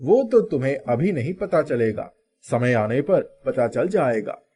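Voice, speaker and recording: A man's voice: mid-range voice, animated, measured pace, mellow, close to an everyday voice. A man voicing a talking magic banana in a children's story, secretive and knowing, with an emphatic, teasing manner. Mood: secretive, assured.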